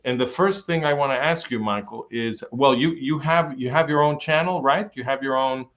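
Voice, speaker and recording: A male interview host putting questions to his guest, halting and repeating words as he frames them. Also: medium pitch, fairly bright tone. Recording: dull lo-fi sound.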